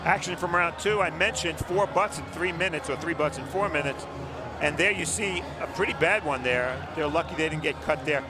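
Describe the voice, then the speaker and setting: A man's voice: on the high side, a little fast. A man giving analytical color commentary on a boxing match, explaining the action round by round. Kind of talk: live sports commentary. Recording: broadcast over loud crowd noise. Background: loud arena crowd.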